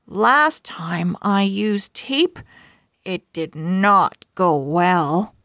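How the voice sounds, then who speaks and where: A woman reads a children's chapter book aloud, expressively and deliberately. Her pace is extremely slow, with a touch of creak in an animated delivery. The recording is close and dry. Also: fairly low pitch, dry recording. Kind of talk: slow scripted storybook read-aloud for young readers.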